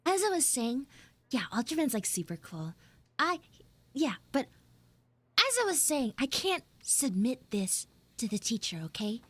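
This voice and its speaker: Woman acting as animated, flustered tsundere frog girl. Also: high voice, husky, dry recording, heavily acted. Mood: awkward, boastful. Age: young, school student. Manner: halting, awkward, defensive, dramatic. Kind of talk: improvised live audio roleplay.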